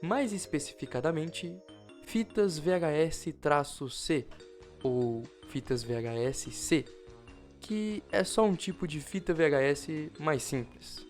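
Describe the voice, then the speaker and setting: A man's voice: fairly high pitch, expressive, takes it slowly. Brazilian man narrating over music. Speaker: YouTube channel co-host. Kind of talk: informal video narration about analog horror. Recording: music behind the voice. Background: background music.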